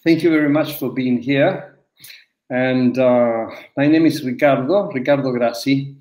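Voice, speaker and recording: A man's voice: medium pitch, a little mellow. Older man from Argentina, roomy webcam audio. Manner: halting, many fillers and restarts. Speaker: webinar moderator. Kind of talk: opening remarks on a video call. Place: room at home. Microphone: laptop webcam on a video call. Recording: roomy video call audio.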